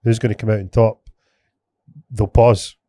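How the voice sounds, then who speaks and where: A man's voice: on the low side, flat delivery, unhurried, warm tone, no room sound, studio quality. A man with a Scottish accent hosting a casual podcast, rambling with lots of restarts, swearing and bantering. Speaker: podcast host.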